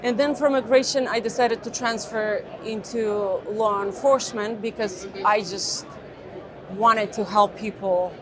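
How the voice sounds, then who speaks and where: A woman's voice: mid-range voice, fairly even intonation, measured pace, a crowd in the background. A woman from Ukraine, co-owner of a protection business, telling her story conversationally with frequent restarts. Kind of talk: interview answers.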